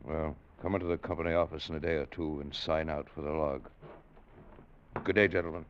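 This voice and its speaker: Stern sea captain, very low voice, clipped and formal, lo-fi sound, light hiss. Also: male voice, fairly even intonation, fairly quick. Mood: somber. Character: stern older male sea captain, shaken but firm. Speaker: ship's captain. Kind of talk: 1940s radio drama acting. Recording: dull old radio recording with faint hiss.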